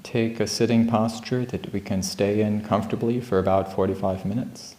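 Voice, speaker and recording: A man leading a guided meditation as a teacher, speaking calmly and gently with unhurried pauses between phrases. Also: on the low side, echoey room. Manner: calm, unhurried, gentle instruction with long pauses. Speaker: meditation teacher at a Buddhist monastery. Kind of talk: guided meditation, unscripted spoken instruction. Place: indoor room at a monastery.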